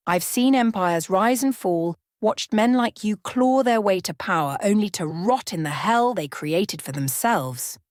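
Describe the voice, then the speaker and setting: A woman voicing an ancient, dangerous yet regretful female vampire in a bedtime story, speaking softly and gravely. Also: mid-range voice, expressive, no room sound, clean studio sound, natural voice. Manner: grave, measured, softly spoken.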